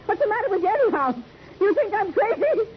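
A cantankerous skid row landlady from an old radio crime play. Her high voice swoops as she complains in blunt slang, querulous and easily frightened. The recording is muffled and noisy. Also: big pitch swings, quick pace. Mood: scared, accusing. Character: cantankerous, frightened woman who runs a skid row hotel. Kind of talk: 1950s radio crime drama acting.

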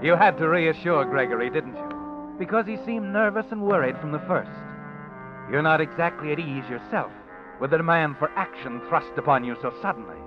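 A man's voice: a little high, animated, normal speed, natural voice. A man voicing a mysterious narrator in a 1940s radio drama, speaking knowingly to the listener in the second person. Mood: knowing, ominous. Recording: dull old radio recording with music.

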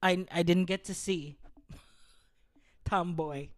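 A woman's voice: lowish voice, fairly even intonation, extremely slow, a little mellow, dry recording. A woman co-hosting a casual chat show, dramatic and jokey, often breaking into mock sobbing. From Philippines. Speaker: podcast co-host.

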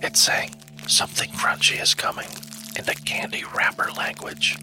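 A man whispering an ASMR-style ad in a hushed, intimate way. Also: moderate pace, faint music behind, rough recording.

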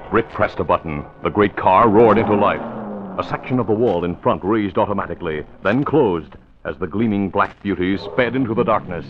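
A man's voice: lowish voice, brisk, very noisy, natural voice. A man narrating a radio serial in a scripted, dramatic 1940s announcer style. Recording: tinny vintage radio recording.

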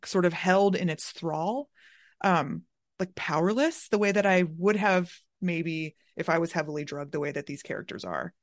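A woman's voice: lowish voice, normal speed, no echo, clean studio sound. A woman hosting an interview in a casual, thoughtful conversational style. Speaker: interviewer, podcast host.

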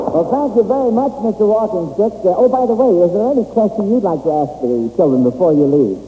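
A man hosting an old-time radio quiz show from a stage, talking in a halting, folksy way. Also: sits high, animated, phone-quality sound. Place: stage in an auditorium. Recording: echoey hall, thin old radio recording, hiss.

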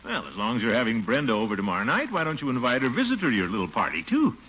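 An actor playing a pompous, genial middle-aged uncle, indulgent and blustery, in old-time radio comedy style. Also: male voice, medium pitch, huge pitch swings, very fast. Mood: genial, teasing. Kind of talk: 1940s radio situation comedy acting. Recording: muffled, noisy old radio recording.

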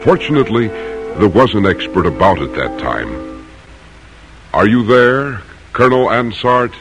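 Deep male narrator, formal, dramatic, deliberate, classic radio storytelling, music behind. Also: sing-song. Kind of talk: scripted old-time radio drama narration.

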